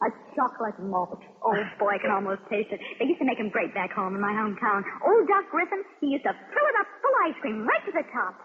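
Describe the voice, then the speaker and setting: An actress playing a young, wisecracking American army nurse in a 1950s radio drama, with a breezy, emphatic delivery. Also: female voice, on the high side, big pitch swings, fast, hardly any pauses. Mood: wistful. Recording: old radio broadcast, narrow, noisy, reverberant.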